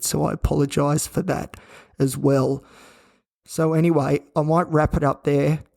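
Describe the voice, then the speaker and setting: A croaky, exhausted man talks through his podcast from a hospital room, his gravelly voice sitting a little high but hardly moving in pitch. He sounds flat and drained, rambling and circling back as he goes. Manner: flat, weary, rambling with restarts. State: croaky and worn out. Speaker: podcast host. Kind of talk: candid solo podcast monologue. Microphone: own recording gear.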